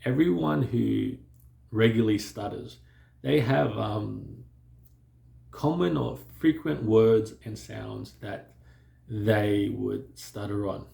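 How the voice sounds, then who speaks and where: A man who stutters, talking calmly and reflectively to camera, with pauses and occasional blocks on words. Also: on the low side, gravelly, roomy sound. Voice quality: stutters and blocks on some sounds. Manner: calm, measured, with pauses and fillers. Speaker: vlogger sharing stuttering experience. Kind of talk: reflective personal talk to camera.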